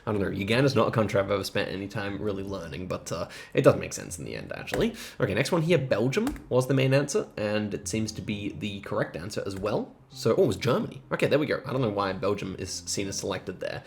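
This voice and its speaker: A man's voice: on the low side, very animated, quick pace, vocal fry, hardly any pauses, slight background noise. A man giving casual, thinking-aloud gameplay commentary, musing and rambling hesitantly as he goes. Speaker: host of geography quiz gaming videos.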